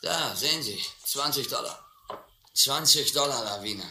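Radio drama: brash young male drifter and drinker, pushy, piercingly bright, roomy sound. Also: medium pitch, expressive, normal speed, slight background noise. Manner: insistent, cocky, pestering. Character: brash young drunken drifter hawking a pistol. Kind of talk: German radio play acting.

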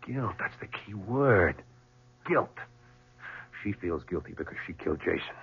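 A man's voice: deep voice, expressive, a little slow, gravelly, husky, full character voice. An actor playing a desperate, suspicious man accused of murder, arguing insistently in a 1970s radio drama. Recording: muffled old radio broadcast.

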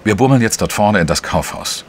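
An actor playing a world-weary, wry male investigative journalist, narrating a crime audio drama in a dry, measured way. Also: lowish voice, lively intonation, slight background noise, natural voice. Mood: brisk, instructing. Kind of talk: scripted first-person crime drama narration. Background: music.

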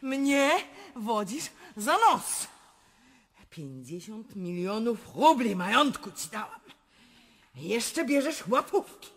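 An actress playing an imperious, lustful and volatile Russian empress in a radio drama, with a commanding theatrical manner. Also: female voice, fairly high pitch, sing-song, slow, heavily acted. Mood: scornful, furious. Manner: commanding, theatrical, swinging between murmurs and shouts.

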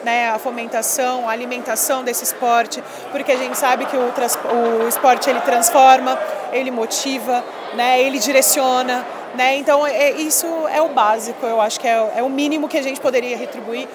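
High-voiced woman at a noisy expo. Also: fairly even intonation, moderate pace, a crowd in the background. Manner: chatty, emphatic, many filler "né". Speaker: former volleyball player, interviewee. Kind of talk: casual interview. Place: busy expo hall, indoors. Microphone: handheld mic held by interviewer.

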